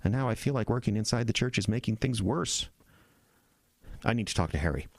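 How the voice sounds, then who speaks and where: Casting: a flighty, sincere small-town priest for audio drama; fairly deep, halting, self-doubting. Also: male voice, lively intonation, no echo, clean studio sound. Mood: troubled, earnest. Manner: halting, self-deprecating, sentences trailing off. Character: earnest, anxious, flighty young small-town pastor.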